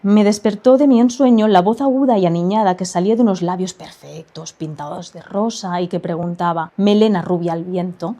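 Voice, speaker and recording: A woman's voice: fairly low pitch, brisk, hardly any pauses, warm tone. A woman reading a first-person literary short story as a scripted narration.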